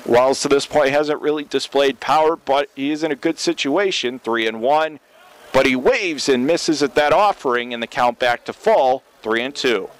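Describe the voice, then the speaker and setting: A man's voice: mid-range voice, moderate pace, rough recording. A man calling a baseball game live as a radio play-by-play commentator. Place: open-air baseball stadium. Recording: clear outdoor ballpark ambient noise.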